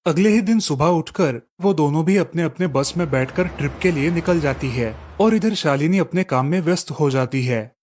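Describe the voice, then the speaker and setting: A man's voice: fairly high pitch, lively intonation, moderate pace, few pauses, darkish tone, slight background noise. A man reading scripted storybook narration for a cartoon tale.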